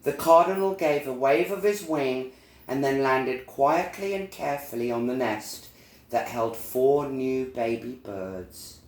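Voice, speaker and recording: A man narrating a children's storybook in a deliberate, expressive storytelling manner, recorded in an echoey room. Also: mid-range voice. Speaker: storyteller reading a children's book.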